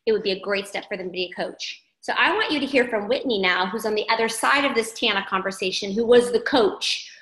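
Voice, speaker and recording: Woman coach, bright voice, upbeat and emphatic, echoey room. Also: medium pitch, fairly quick, hardly any pauses. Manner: emphatic, affirming, repeats key phrases. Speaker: team coach leading a training call. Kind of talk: encouraging group training talk.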